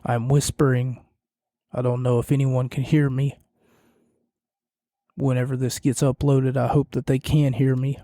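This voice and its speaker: A man with a fading, hoarse voice hosts a podcast on his own, speaking slowly in a low, rambling monotone. His tone is dark and mellow, and the recording is dry and close. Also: dry recording. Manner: rambling, self-deprecating, low and quiet. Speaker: podcast host. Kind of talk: casual solo podcast recap.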